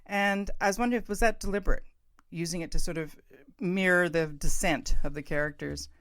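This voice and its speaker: Female podcast host, very low register, chatty and effusive interviewer, dry recording. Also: brisk, a bit bright, no room sound. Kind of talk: conversational interview.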